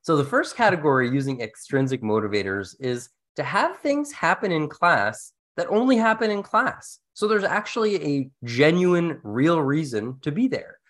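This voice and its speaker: A man's voice: a little high, sing-song, normal speed, few pauses, a bit bright. A man talking conversationally as co-host of an educational podcast, explaining ideas casually with a wry touch. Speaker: podcast co-host, instructional designer.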